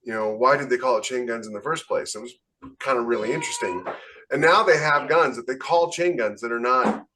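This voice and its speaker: A man's voice: medium pitch, fairly quick, background noise. A man talking casually as an author on a podcast panel, explaining his points conversationally.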